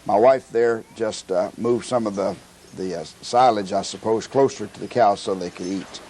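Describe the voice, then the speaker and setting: An older man with an American accent, giving a halting, reflective, conversational voice-over for a family travel video. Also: on the low side, dark tone.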